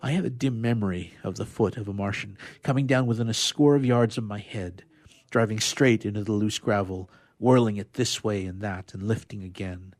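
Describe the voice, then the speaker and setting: A lowish-voiced man reads classic science fiction aloud as a radio player. His delivery is dramatic, stretching out key phrases with emphasis. The sound is dry and close, with little room in it. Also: moderate pace, no room sound.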